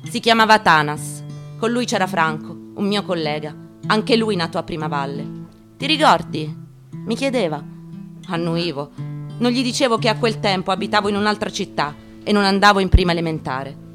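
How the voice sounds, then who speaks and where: A woman giving a deliberate, declamatory dramatic reading of a first-person memoir, in short phrases with pauses. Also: medium pitch, lively intonation. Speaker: actress giving a staged reading. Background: acoustic guitar playing.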